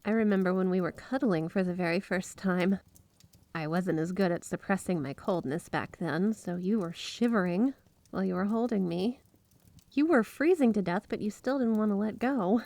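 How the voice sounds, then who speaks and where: A woman playing a flirty, obsessively devoted yandere wife in an intimate ASMR roleplay, gushing straight to the listener with drawn-out emphasis. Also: mid-range voice, a little mellow, dry recording, lightly acted. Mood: fond, tender. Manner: gushing, breathy giggles, drawn-out emphasis on words.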